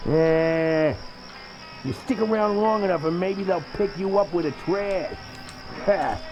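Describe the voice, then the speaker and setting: An actor playing an irritable, sneering trailer-dwelling welder and artist who slings crude insults in a comic video game. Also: male voice, high voice, slow pace, highs cut off. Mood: threatening, sneering. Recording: loud music behind, from game livestream.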